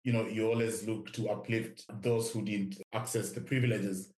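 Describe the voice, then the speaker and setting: A man's voice: lowish voice, little pitch movement, moderate pace, darkish tone. A middle-aged man with a Kenyan accent, talking reflectively as an interview guest. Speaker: podcast guest, investment director. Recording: roomy, echoing sound.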